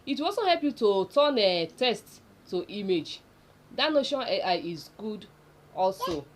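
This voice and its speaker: A woman's voice: medium pitch, sing-song, a little slow. A woman with a Nigerian accent hosting a casual, unscripted tutorial, repeating points for emphasis and speaking directly to viewers. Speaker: video tutorial host. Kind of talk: casual unscripted explainer listicle.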